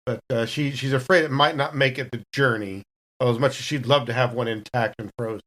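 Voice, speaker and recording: A woman's voice: rumbling low, a little fast, fairly bright tone. A woman playing a tabletop game, casually and wryly thinking through what her character does.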